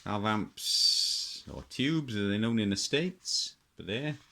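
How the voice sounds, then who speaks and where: A middle-aged man with a low-pitched voice talks through things in an off-the-cuff way. He sounds like a hobbyist showing items one by one, pausing to muse and often repeating his phrases. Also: expressive, creaky, bright, forceful tone. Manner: halting, musing, repeats himself. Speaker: hobbyist presenter. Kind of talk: casual unscripted show-and-tell commentary.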